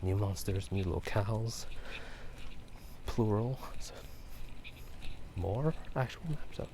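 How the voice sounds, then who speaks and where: A man talking softly in a casual, rambling ASMR style, often slipping into a breathy whisper. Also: on the low side. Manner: hushed, halting, unhurried. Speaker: ASMR video host. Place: outdoors at night, walking near a park. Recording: clearly noisy outdoor ambient sound. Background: outdoor ambience.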